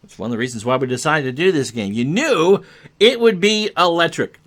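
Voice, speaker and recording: A man's voice: fairly high pitch, animated, a little fast, fairly bright tone. A man doing chatty play-by-play hockey commentary, mixing clipped calls of the action with joking asides.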